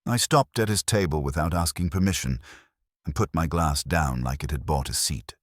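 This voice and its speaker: A man's voice: extremely low pitch, moderate pace, no echo, clean studio sound. A man reading first-person detective fiction as a scripted audiobook narration.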